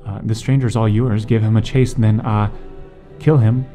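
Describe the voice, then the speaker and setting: A man acting a smug, calculating villain in an audio drama, taunting in drawn-out, halting phrases. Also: fairly low pitch, flat delivery, mellow, faint music behind, lightly acted. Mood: menacing. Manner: hesitant, drawn-out phrasing with pauses.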